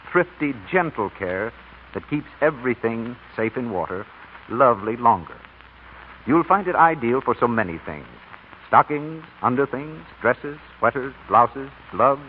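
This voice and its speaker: A tinny vintage radio recording with faint noise carries an American male announcer. His mid-pitched voice swings up and down in the polished, emphatic style of 1940s advertising. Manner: polished, emphatic sales delivery.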